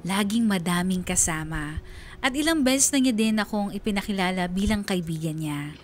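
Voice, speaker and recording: A woman's voice: mid-range voice, animated, normal speed, a little hiss or hum, natural voice. A woman playing an uneasy, guarded young student who narrates her own story in a confessional radio drama. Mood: uneasy, reflective.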